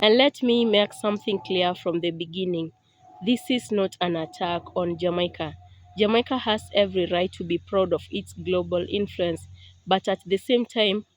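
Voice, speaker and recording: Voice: mid-range voice, poor audio. A channel host reading a scripted, explanatory introduction to a reaction video.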